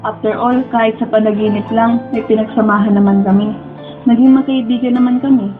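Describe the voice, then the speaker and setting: A woman's voice: on the high side, fairly even intonation, a little fast, close to an everyday voice. A woman with a Filipino accent playing a wistful, lovestruck young student who narrates her dreams in a radio drama. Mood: sad, worried. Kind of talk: first-person radio drama narration and dialogue. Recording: dull lo-fi audio, music bed. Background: background music.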